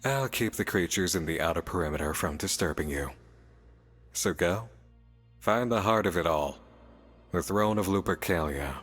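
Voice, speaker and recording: An actor playing a sly, playful demon in a visual novel, teasing, cryptic and theatrical. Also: male voice, deep voice, animated, normal speed, pauses often, a bit bright, husky, heavily acted. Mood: sly, composed. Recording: long reverb, music behind.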